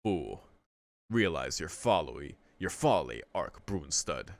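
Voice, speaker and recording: A man voicing a menacing male vampire lord made of beasts, speaking slowly, low and cold while reading a game aloud. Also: big pitch swings, creaky, no echo, studio quality, full character voice. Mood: contemptuous.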